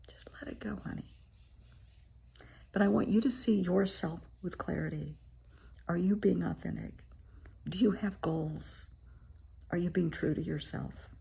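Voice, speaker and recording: Woman therapist, raspy and croaky from a cold, dark husky tone, frank and encouraging. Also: on the low side. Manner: frank, encouraging, speaks straight to the viewer. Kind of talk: casual direct-to-camera advice talk. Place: her living room.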